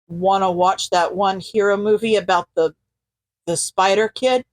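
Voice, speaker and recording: A gruff young hero played by a woman reader, laconic and casual, with the voice dropped lower than the narration. The recording is dry and close. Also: fairly even intonation, a little slow, dry recording, close to an everyday voice. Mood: dry, nonchalant. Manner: laconic, low-key, casual.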